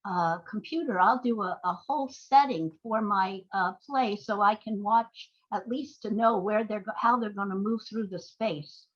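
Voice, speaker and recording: A woman's voice: medium pitch, moderate pace, few pauses, lo-fi sound. An older woman giving conversational interview answers, explaining things through anecdotes with emphatic agreement. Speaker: guest, playwright and screenwriter.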